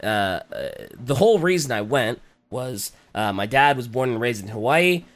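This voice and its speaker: Man, raspy throat, congested, hesitant, lots of fillers, casual podcast chat. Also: mid-range voice, very animated, moderate pace. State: recovering from a cold. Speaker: podcast co-host.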